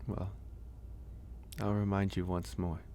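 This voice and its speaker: Werewolf caretaker, male actor: very low register, kind and unhurried with hesitant pauses, intimate ASMR roleplay over ambient noise. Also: level tone. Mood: gentle, caring. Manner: gentle, hesitant, reassuring, pausing often. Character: gentle male werewolf, caring stranger nursing a guest.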